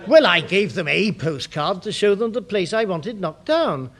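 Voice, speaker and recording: An actor playing a timid, bungling junior English civil servant in a British radio sitcom, stammering and anxious. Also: male voice, high voice, big pitch swings, normal speed, fairly bright tone, slight background noise, heavily acted. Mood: worried.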